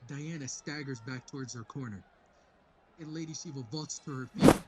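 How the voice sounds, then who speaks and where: Man putting on a dramatic fight announcer, bursting loud. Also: medium pitch, moderate pace, heavy background noise, lightly acted. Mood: dramatic, excited. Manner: dramatic, emphatic bursts of volume. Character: excited fight ringside announcer, booming and dramatic. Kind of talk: play-by-play fight commentary.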